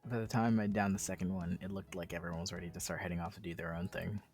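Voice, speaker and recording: Casual male co-host, lowish voice, reading visual novel narration with informal interjections, music faintly behind. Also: little pitch movement, quick pace, a little mellow. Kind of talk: casual read-aloud with side commentary.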